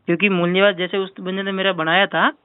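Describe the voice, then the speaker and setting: A high-voiced man presses a complaint on a phone call. He talks fast and insistently, circling back to his point. The sound is thin telephone audio with very little room in it. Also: level tone, clean tone, dry recording. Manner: insistent, repeatedly arguing the point. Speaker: caller filing a complaint with a helpline. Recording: narrow-band phone call recording.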